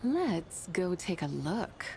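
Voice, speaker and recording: A woman's voice: fairly low pitch, very animated, slow, mellow, slight background noise, exaggerated voice. A woman voicing a proud ancient immortal in a video game, speaking formally and grandly. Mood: lofty, pensive. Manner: formal, archaic, pompous declarations. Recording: game dialogue over background music.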